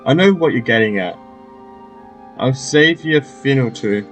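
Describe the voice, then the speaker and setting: A man giving casual, off-the-cuff let's play commentary, halting and repeating his phrases as he goes. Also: medium pitch, lively intonation, a bit bright, soft background music. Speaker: gaming video host.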